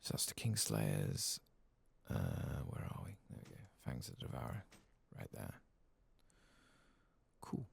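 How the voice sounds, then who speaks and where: A soft-spoken man with a husky, gravelly voice, murmuring unhurriedly as he talks through a video game in ASMR style. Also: a bass voice, huge pitch swings, unhurried, long pauses, slight background noise.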